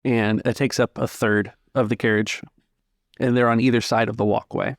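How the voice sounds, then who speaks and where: A man's voice: mid-range voice, flat delivery, normal speed, no echo, clean studio sound. A man narrating a tabletop roleplaying game as its game master.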